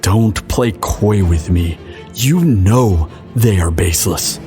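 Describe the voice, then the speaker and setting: A man's voice: low-pitched, slow pace, warm tone, soft background music. A man playing a proud, indignant elder crown prince in a fantasy audio drama, speaking formally and haughtily. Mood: stern.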